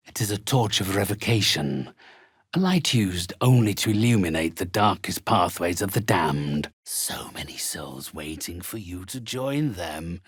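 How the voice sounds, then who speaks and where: An actor playing a sinister, haughty undead necromancer in a video game, with a raspy voice and formal, drawn-out, menacingly polite delivery. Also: male voice, fairly low pitch, pushed and bright, no echo, studio quality, exaggerated voice. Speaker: spirit medium. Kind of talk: theatrical video game villain acting.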